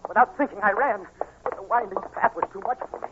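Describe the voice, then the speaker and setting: A man's voice: high voice, fast, lightly acted. An actor playing an earnest, anxious blind young man in a 1940s radio drama, with dramatic, intense delivery. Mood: tense narration. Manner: dramatic, intense, emotionally urgent. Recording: tinny, noisy 1940s radio recording.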